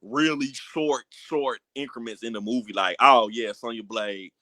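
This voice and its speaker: A man's voice: mid-range voice, unhurried, sharp tone, dry recording. A man co-hosting a podcast with casual, slangy banter, recorded close on a mic. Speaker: podcast co-host.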